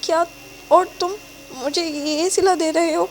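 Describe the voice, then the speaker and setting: Brief: distraught young woman pleading through tears, high and strained, read by a woman narrator. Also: flat delivery, slight background noise, natural voice. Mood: sobbing. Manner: tearful, pleading, high-pitched. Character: desperate heartbroken young woman, sobbing.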